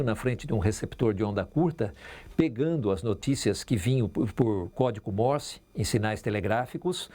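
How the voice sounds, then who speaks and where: A man's voice: mid-range voice, darkish tone. An older man with a Brazilian accent, explaining and reminiscing as a radio veteran in an interview.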